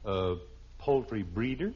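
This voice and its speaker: A man's voice: on the low side, big pitch swings, slow pace. An American actor playing an exasperated, sarcastic suburban father and husband in a 1950s radio sitcom, dry and incredulous. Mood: suspicious, dry. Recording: dull, slightly noisy old radio recording.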